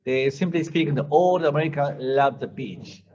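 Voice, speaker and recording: A man asking the panel a question in a halting way, repeating words as he searches for them. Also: a little high, darkish tone. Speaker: audience questioner. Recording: dull lo-fi sound.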